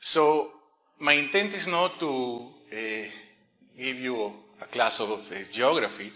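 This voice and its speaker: A man's voice: medium pitch, bright, forceful tone. A middle-aged man from Peru giving a conference presentation on engineering, speaking with frequent fillers and restarts. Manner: halting, many fillers and restarts; light joking aside. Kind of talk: conference talk.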